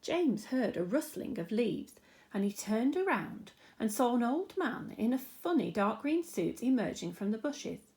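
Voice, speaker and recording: A woman's voice: fairly high pitch, very animated, a little slow, a little mellow. A woman narrating a children's storybook expressively, like a teacher reading aloud.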